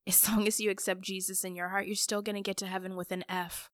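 A woman's voice: mid-range voice, flat delivery, fast talker, no echo, studio quality. A middle-aged woman speaking candidly and chattily, answering questions in a casual interview. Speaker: guest, parent.

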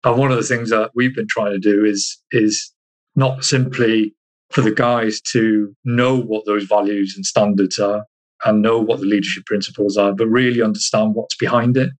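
A man from Iceland chatting casually as an interview guest, halting and repeating himself as he searches for words. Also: lowish voice, fairly even intonation, low-quality recording. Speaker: interview guest, army soldier.

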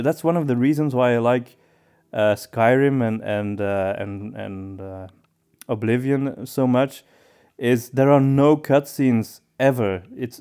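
A man co-hosting a podcast in casual discussion, with halting phrasing that often doubles back on words. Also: medium pitch, warm tone, clean tone. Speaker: podcast co-host.

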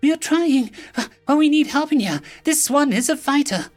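A man's voice: very high voice, expressive, moderate pace, faint music behind, pitched above the performer's own voice. A man voicing a nervous young female alien nurse in a storybook reading. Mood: strained. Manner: nervous, flustered.

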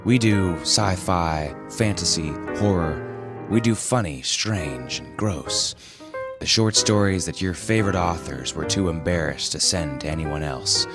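A man's voice: deep voice, a little slow, creaky. A man reading a scripted podcast promo as the show's host. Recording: music bed under the voice. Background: music.

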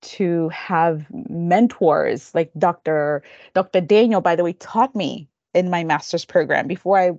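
Woman, low voice, earnest and reflective, dry dull recording. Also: a little mellow, dry recording. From Kenya. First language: Kikamba. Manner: thoughtful, earnest, halting restarts. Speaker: guest counselor educator. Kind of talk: reflective interview storytelling.

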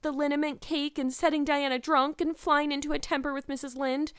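A woman's voice: high voice, fairly quick, no room sound, clean studio sound, pitched above the performer's own voice. A woman voicing a despairing, wordy young girl in a storybook, pleading and earnest in a melodramatic way. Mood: tearful despair.

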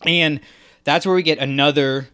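A man's voice: on the high side, fairly even intonation, moderate pace, sharp tone, smooth. A man co-hosting a podcast, talking through a fan analysis in an emphatic way and acting out imagined reactions. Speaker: podcast co-host.

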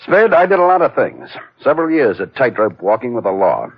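An actor playing a commanding, shady racketeer with a husky voice and theatrical manner in a 1940s radio drama. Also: male voice, medium pitch, big pitch swings, fairly quick. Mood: smooth, proud. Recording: dull, muffled old radio recording.

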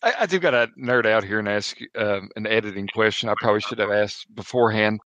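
A man's voice: lowish voice, quick pace, a bit bright, dry recording, lo-fi sound. A man hosting a podcast interview in an informal, joking way. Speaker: podcast host. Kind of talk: casual interview chat.

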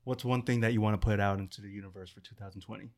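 A man's voice: fairly low pitch, steady tone, racing. A man in his early thirties hosting a podcast, laid-back and slangy, teasing his guest. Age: early 30s. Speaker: podcast host. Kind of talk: casual chat.

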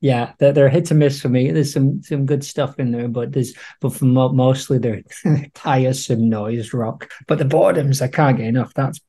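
Man, soft mellow tone, mid pitch, enthusiastic and opinionated, casual music podcast chat. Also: moderate pace.

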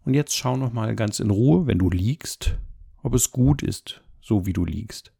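Calm guy doing a guided body scan, soft mellow voice with lots of gravelly fry. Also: lowish voice. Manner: calm, gentle, unhurried with measured phrases. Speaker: meditation guide.

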